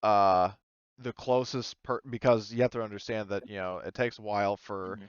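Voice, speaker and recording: A man with a low, smooth voice narrates a tabletop roleplaying session as game master. He talks casually and haltingly, feeling for the next word. The sound is close and dry, a little muffled. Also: fairly even intonation, dry recording. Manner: halting, searching for words.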